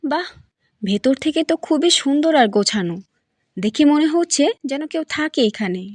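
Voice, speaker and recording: A woman's voice: sits high, expressive, normal speed, a little mellow, dry recording. A woman playing a young wife in a cartoon who questions and firmly accuses suspects. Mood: curious. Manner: inquisitive, firm, accusing. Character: young wife turned sharp sleuth.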